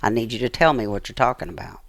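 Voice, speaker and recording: A woman's voice: extremely low pitch, quick pace, dry recording. An American woman telling personal stories as an interview guest, earnest and emphatic, repeating phrases for emphasis. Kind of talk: personal storytelling in conversation.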